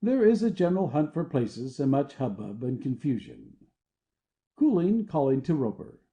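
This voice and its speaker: Narrator reading play stage directions, super mellow, lively intonation, bit muffled recording. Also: medium pitch, normal speed. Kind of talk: scripted narration.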